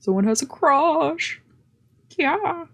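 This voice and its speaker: A woman's voice: high voice, lively intonation, takes it slowly, fairly bright tone. A woman reading a visual novel aloud as a streamer, shifting between narration and casual asides, with game music behind her. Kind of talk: dramatic let's play read-aloud with casual asides.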